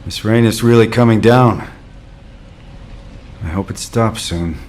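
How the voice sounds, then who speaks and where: A man's voice: lowish voice, measured pace, natural voice. A man playing a composed military superior in a video game cutscene. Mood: pensive. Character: composed male military superior giving orders. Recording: clear ambient noise behind voice.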